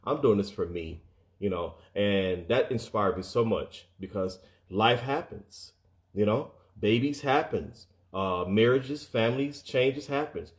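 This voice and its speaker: A man's voice: lowish voice, unhurried. A man giving an earnest motivational talk, speaking straight to his listeners, often repeating words and acting out quoted speech.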